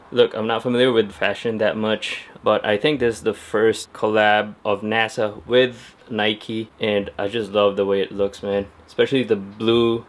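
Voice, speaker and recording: A young man talking casually to camera at home about his sneakers, chatty and laid-back with lots of fillers. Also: on the low side. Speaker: sneaker vlogger. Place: at home indoors.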